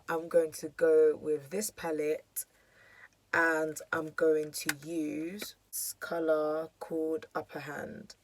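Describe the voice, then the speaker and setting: A young woman with a hoarse, croaky voice, chatting casually through a makeup tutorial with lots of fillers. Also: deep voice. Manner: chatty, filler-heavy, self-deprecating. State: hoarse, losing her voice. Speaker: beauty vlogger.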